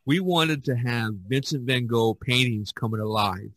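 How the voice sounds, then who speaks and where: A man's voice: medium pitch, a bit bright, dry recording. Rambling male podcast host, dry close sound. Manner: rambling, halting, repeats words. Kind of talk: casual chat.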